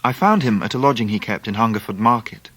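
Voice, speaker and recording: An English gentleman telling his own Victorian story. His voice is fairly low and closely recorded without room sound, with measured, literary radio drama narration. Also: animated, no echo. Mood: reflective. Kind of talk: scripted first-person radio drama narration.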